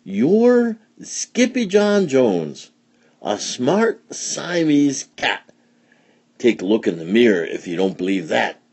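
A man's voice: deep voice, fast talker, gravelly, few pauses, slightly rough, full character voice. A man voicing a bossy, dramatic mother cat who scolds fondly in emphatic storybook rhyme. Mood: firm, instructing. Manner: emphatic, rhyming, theatrical.

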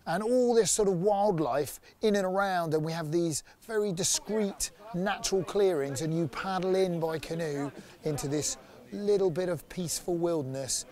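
A man's voice: high-pitched, normal speed, darkish tone. A man in his forties, an outdoor activity company director, talking enthusiastically in a promotional interview outdoors in a sunny woodland, on a lapel mic. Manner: enthusiastic, upbeat.

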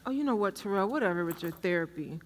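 A woman's voice: mid-range voice, expressive, quick pace, close to an everyday voice. A woman playing a giddy, clingy, lovestruck young woman in a comedic stage play, gushing breathlessly and melodramatically. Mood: subdued, hurt. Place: theatre stage, indoors. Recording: noisy stage recording from far off.

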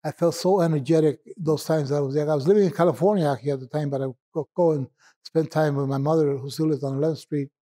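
A man with a Puerto Rican accent, a poet telling stories in a rambling, reminiscing way with filler pauses. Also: a little high, steady tone, warm tone. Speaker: poet guest. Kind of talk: relaxed conversational storytelling.

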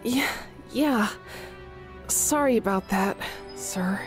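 A woman voicing a young, earnest, easily flustered female echidna guardian in an animated comic dub. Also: medium pitch, slow pace, music in the background, close to an everyday voice. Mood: sheepish.

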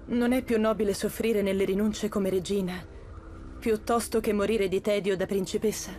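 Proud young queen, soft-spoken and earnest, played by an actress, over a music bed. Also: medium pitch, steady tone, moderate pace, lightly acted. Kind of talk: dubbed documentary drama. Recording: music underneath.

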